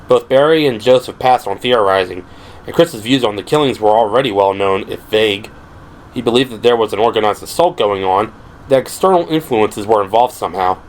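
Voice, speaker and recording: A man narrating an audio drama in a measured, scripted storytelling style. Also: fairly low pitch, a little hiss or hum, poor audio.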